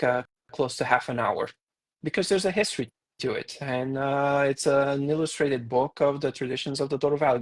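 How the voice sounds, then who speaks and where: A man with a Portuguese accent, a tour guide co-hosting a casual podcast, talking with many pauses and fillers. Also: mid-range voice.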